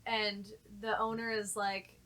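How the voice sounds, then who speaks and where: Woman, bright forward voice, medium pitch, casual storytelling, frank, lightly sweary, panel chat. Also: flat delivery, slow.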